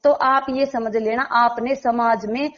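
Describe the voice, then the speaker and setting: A high-voiced woman speaks with a tinge on a muffled, lo-fi call recording. She answers as an interviewee, insistent and impassioned. She leans on rhetorical questions and repeats her points. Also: steady tone, measured pace. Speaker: interviewee, village head. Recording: dull lo-fi phone call recording.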